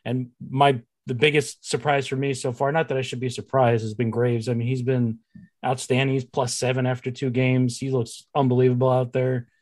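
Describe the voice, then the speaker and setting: A man's voice: medium pitch, flat delivery, normal speed, a little mellow. A man hosting a sports show, chatting casually about the game as a fan would. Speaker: sports podcast host.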